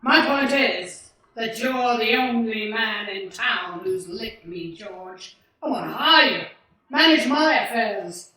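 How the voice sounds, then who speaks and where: A man's voice: high-pitched, animated, takes it slowly, bright, forceful tone, heavily acted. A man playing a wily, domineering old banker in a 1940s-style radio play, condescending and blustering. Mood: persuasive. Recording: distant, very echoey stage hall.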